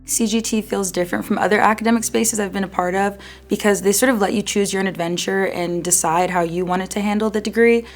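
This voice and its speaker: Quick-talking young woman. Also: medium pitch, fairly even intonation. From United States. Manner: casual, reflective, upbeat. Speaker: graduate student. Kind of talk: interview testimonial.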